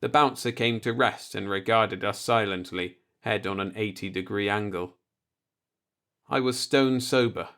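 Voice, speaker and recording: A man's voice: on the low side, unhurried, a bit bright, clean tone. A man reading a short story aloud as a scripted audiobook narration.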